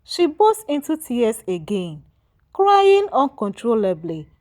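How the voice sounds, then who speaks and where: A woman's voice: on the high side, sing-song, slow pace, darkish tone. A woman narrating a scripted folktale as a storyteller, with dramatic, emphatic delivery.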